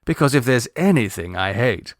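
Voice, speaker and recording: A man voicing a witty young gentleman in a storybook, lazy, droll and unconcerned. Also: medium pitch, animated, moderate pace, no echo, clean studio sound, lightly acted. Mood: lazy, flippant.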